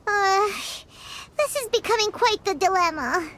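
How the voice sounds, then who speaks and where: A woman's voice: very high voice, lively intonation, unhurried, sharp tone, heavily acted. A woman voicing a small childlike fairy companion in a video game, chatty, curious and wide-eyed, in a cartoonish style. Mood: concerned.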